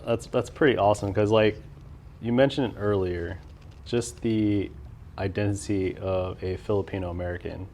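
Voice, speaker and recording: Young male host, laid-back and conversational, low and gravelly. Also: fairly even intonation, moderate pace, darkish tone, slight background noise. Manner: laid-back, many murmured backchannels. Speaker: podcast host. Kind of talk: casual interview chat.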